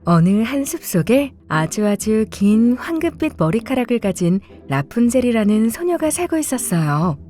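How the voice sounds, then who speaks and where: A woman reading scripted fairy-tale narration for children in an expressive, measured storytelling way. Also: on the high side. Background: soft music.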